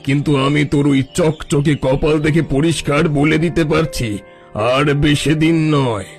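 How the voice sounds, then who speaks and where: A man voicing a bossy holy man in a cartoon, scolding and pompous with a gravelly voice. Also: fairly high pitch, fairly even intonation, moderate pace, soft background music. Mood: grandly scolding. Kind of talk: cartoon story acting.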